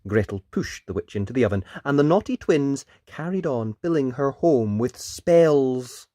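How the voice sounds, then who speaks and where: A children's story reader and host giving animated storybook narration, with dramatic emphasis and pauses. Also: mid-range voice, no room sound, studio quality.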